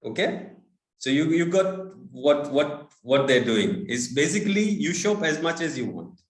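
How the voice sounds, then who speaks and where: A man from India giving an online talk as a guest speaker, halting with many fillers. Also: a little high, very animated. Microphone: video call. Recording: echoey room.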